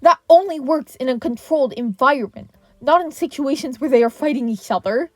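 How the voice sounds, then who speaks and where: Stern, indignant male teacher, played by a woman narrator; commanding scolding, high-pitched, close dry sound. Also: brisk, no room sound, close to an everyday voice. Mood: fuming. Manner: sharp, commanding, lecturing.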